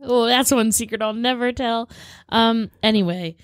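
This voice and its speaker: A woman's voice: a little high, moderate pace, dry recording, clean studio sound. A woman in her thirties co-hosting a podcast, slightly scratchy in the throat, rambling and giggling through casual chat. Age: 30s. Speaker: podcast co-host.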